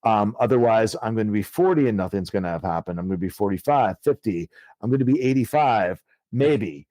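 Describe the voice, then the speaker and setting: A man's voice: fairly low pitch, expressive, fairly quick, mellow, no room sound. A man in his thirties, an author guest telling his story in a casual, rambling way with many fillers and easy swearing. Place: podcast studio.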